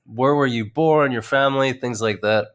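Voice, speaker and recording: A man's voice: mid-range voice, moderate pace, clear, resonant tone. A man hosting a casual podcast, upbeat and hyping up his guest as he asks questions. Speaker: podcast host.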